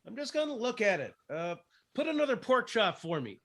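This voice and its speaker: Man acting a jittery, high-voiced oil-rig worker. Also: very animated, brisk, fairly bright tone, no room sound, exaggerated voice. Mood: distracted. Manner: hesitant, nervous, folksy. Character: jittery young oil-rig man, timid and easily spooked. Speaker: actor. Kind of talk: old-time radio horror drama acting.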